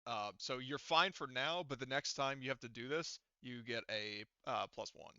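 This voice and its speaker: Male game master, clear and bright, casual tabletop refereeing with loose swearing. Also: medium pitch, normal speed, no echo, dull audio.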